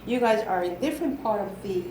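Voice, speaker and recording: A woman's voice: mid-range voice, expressive, fast talker. A middle-aged woman from Ecuador, a native Spanish speaker with an Ecuadorian accent, chatting as an interview guest. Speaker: guest, real estate broker. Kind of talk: casual conversational interview. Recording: echoey room, clear background noise.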